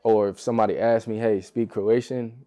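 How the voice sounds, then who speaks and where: A man's voice: lowish voice, fairly even intonation, fairly quick, warm tone. A young American man, a basketball player guesting on a podcast, chatting in an easygoing way with lots of fillers and laughter. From United States. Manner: laid-back, easygoing, laughs often, loose phrasing with many fillers. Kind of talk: relaxed casual interview chat.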